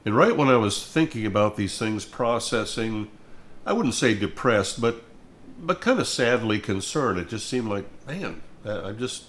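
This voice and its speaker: A man's voice: lowish voice, big pitch swings, normal speed, fairly bright tone, a little hiss or hum. An older man in reflective conversational talk, telling things in a thoughtful, plainspoken way. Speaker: music minister and host.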